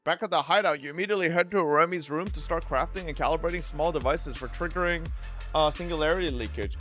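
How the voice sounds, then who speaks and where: A man's voice: a little high, a bit bright. Informal male streamer over game music. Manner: slangy, informal. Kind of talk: casual playthrough commentary and reading aloud.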